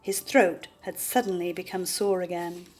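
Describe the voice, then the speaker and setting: An older woman reading a children's picture book aloud with deliberate, theatrical expression. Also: fairly low pitch, darkish tone, low-quality recording.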